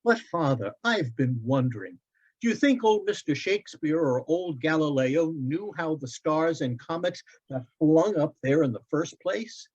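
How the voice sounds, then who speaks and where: A man's voice: fairly high pitch, measured pace, breathy edge, pitched above the performer's own voice. A man voicing an eager, curious young boy who talks with wonder to his father, in a storybook reading. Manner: bright, wondering, excitable. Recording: dull, lo-fi online event audio.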